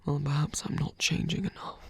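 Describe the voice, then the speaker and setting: A man playing a wary, self-doubting shapeshifting lover in an intimate ASMR roleplay, speaking haltingly in a breathy voice that often drops toward a whisper. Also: on the high side, steady tone. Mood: self-doubting, reluctant. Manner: hesitant, halting, sighing, softly self-deprecating.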